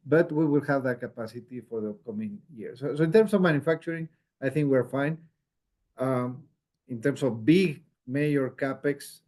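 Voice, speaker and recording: A man in a company leadership role answers questions on an earnings call. His voice is mellow and sits at an average pitch. He explains in a measured way, often restating a phrase to underline it. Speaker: company executive.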